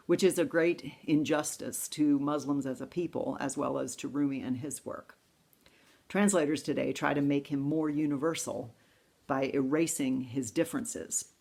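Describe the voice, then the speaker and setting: A woman pastor giving a reflective sermon, reading poetry in a slow, intimate way. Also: deep voice, gravelly, darkish tone. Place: church service, streamed online.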